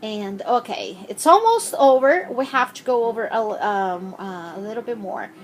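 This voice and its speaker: A woman interviewing a child, asking gentle, patient questions with frequent hesitations. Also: mid-range voice, expressive, normal speed, faint music behind, low-quality recording.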